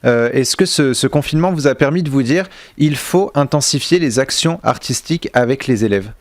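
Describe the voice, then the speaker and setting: Male radio host, French from France. Medium pitch, very dry studio-like sound, halting questions with repeated words. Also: lively intonation, no room sound.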